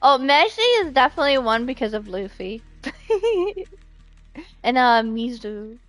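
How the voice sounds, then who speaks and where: A woman with a high-pitched voice hosting a casual game stream. Her pace is slow, but her manner is excitable and giggly as she chats with viewers. Soft music sits in the background. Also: dry recording. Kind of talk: casual live-stream chat, reading out comments.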